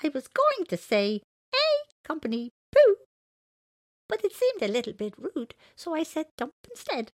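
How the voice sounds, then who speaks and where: Woman putting on a high-pitched, gentle elephant. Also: huge pitch swings, moderate pace, no echo, clean studio sound. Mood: sheepish. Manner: gentle, hesitant. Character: kindly, hesitant, innocent elephant who flies.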